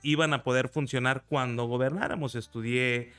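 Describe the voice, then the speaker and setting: Guy, early 40s, smooth clear voice, faint music behind. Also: mid-range voice, steady tone, normal speed, a bit bright. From Mexico. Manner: earnest, recounts with pride. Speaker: guest, federal deputy. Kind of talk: conversational interview.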